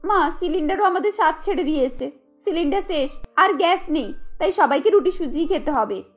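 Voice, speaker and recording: A harried young housewife from a family cartoon, voiced by a woman, very high in pitch. She complains in an irritated, insistent way, firm and thrifty, over light music. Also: expressive, moderate pace. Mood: fed up.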